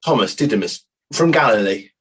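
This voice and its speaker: A man's voice: fairly low pitch, expressive, fairly quick, a bit bright, husky. A man playing a doubting, plain-spoken disciple giving emphatic, slightly stumbling testimony in a dramatized inquiry. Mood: matter-of-fact.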